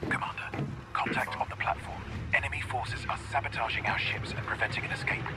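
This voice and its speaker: An actor playing a dutiful imperial special forces agent in a video game, reporting in a clipped, urgent military manner. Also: male voice, medium pitch, fairly even intonation, fast, heavy background noise, natural voice. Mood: tense. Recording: game audio under loud music.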